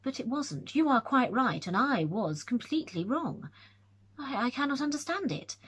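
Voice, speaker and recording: A woman's voice: medium pitch, breathy edge. A woman reading aloud, voicing a young male scholar who tells his tale eagerly, with nervous stumbles. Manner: lively, excited storytelling with hesitations.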